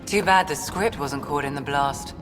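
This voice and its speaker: A woman playing a blunt, sarcastic spaceship crewmate in a video game, speaking in a wry, brisk way. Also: low-pitched, lightly acted. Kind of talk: casual video game dialogue. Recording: reverberant, faint music underneath.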